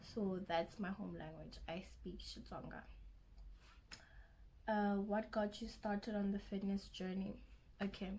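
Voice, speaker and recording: Slow-talking young South African woman. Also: mid-range voice, steady tone, darkish tone, slight background noise. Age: young adult. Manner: nervous, chatty, self-conscious, restarts often. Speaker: vlogger. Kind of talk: casual first-vlog chat.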